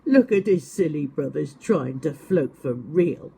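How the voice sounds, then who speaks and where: A woman's voice: low-pitched, expressive, unhurried, vocal fry, mellow, a little hiss or hum, heavily acted. A woman voicing amused, mocking woodland animals in a storybook, jeering at others. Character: mocking woodland animals: stoat, squirrel, laughing bear.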